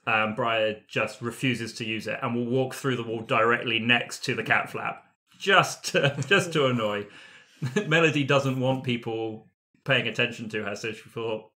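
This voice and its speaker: A man's voice: medium pitch, measured pace, sharp tone. A man playing a tabletop roleplaying game, chatting playfully and casually with friends and often chuckling as he talks. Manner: playful, chuckles through sentences. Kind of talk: casual character discussion among friends.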